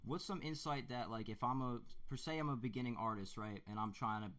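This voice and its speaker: A man's voice: medium pitch, brisk, a bit bright. A man hosting a music stream, interviewing a guest in a laid-back way and reading chat aloud. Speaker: stream host.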